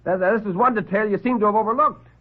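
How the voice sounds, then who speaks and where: A man's voice: high voice, fast, pitched above the performer's own voice. A man playing a tough, hot-headed lieutenant with a blunt, slangy, challenging way of talking in classic radio thriller style. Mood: defiant. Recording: muffled old radio broadcast recording.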